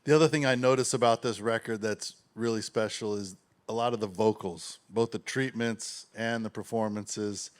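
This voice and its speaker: A man's voice: on the low side, fairly even intonation, normal speed. A man hosting an interview, enthusiastic and complimentary as he asks about the guest's music. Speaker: interviewer host. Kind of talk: interview questions.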